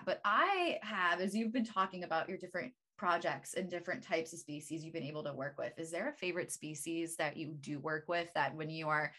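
A woman's voice: low-pitched, brisk, hardly any pauses, bright, forceful tone. A woman hosting an interview, asking long chatty questions full of "like" and "you know". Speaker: interviewer host.

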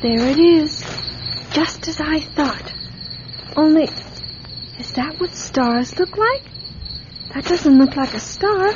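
High-voiced, curious little girl. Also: lively intonation, slow pace, pauses often. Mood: wondering. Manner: wide-eyed, earnest, childlike chatter. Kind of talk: radio drama acting. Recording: dull, noisy radio drama recording.

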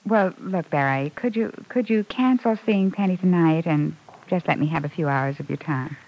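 A woman's voice: fairly low pitch, moderate pace, darkish tone, low-quality recording. A woman playing a pert, scheming young working woman in an old-time radio soap opera, coy and insinuating. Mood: pleading. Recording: vintage radio recording.

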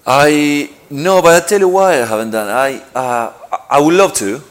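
A middle-aged man from Argentina answering interview questions, halting and repeating himself, with lots of "you know". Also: on the high side. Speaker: interviewed footballer.

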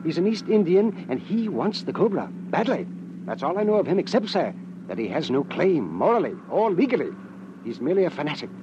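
An actor playing a fussy, anxious, spry little client in a classic radio drama, formal and deferential in manner. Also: male voice, on the high side, sing-song, fairly quick. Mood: earnest. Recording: dull old radio recording, heavy car noise.

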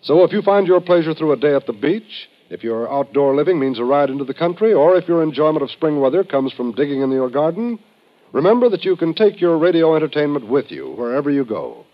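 A man's voice: on the high side, very animated, fairly quick. An American man reading scripted announcements in old-time radio style. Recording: dull vintage radio broadcast. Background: music.

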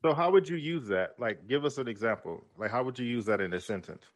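A man's voice: medium pitch, lively intonation, fast talker. A man co-hosting a podcast in casual chat, eager and playful, laughing often. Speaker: podcast co-host.

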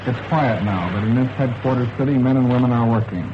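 A man's voice: mid-range voice, a little fast. An American man reporting as a radio correspondent in scripted 1940s news narration. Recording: dull, noisy wartime shortwave radio relay.

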